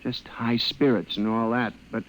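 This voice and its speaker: A man's voice: medium pitch, flat delivery, moderate pace, fairly bright tone, natural voice, low-quality recording. An actor playing an agitated, pleading male convict in an asylum who insists he is sane, in 1950s radio drama style. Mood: rambling, resigned. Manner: pleading, fervent. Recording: old-time radio recording.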